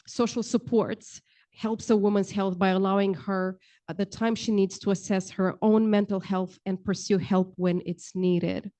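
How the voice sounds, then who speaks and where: A woman's voice: mid-range voice, warm tone. A woman, speaking earnestly on a panel with frequent ums into a handheld mic. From Romania. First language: Romanian. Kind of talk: panel discussion talk. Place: large indoor event hall, seated at panel table.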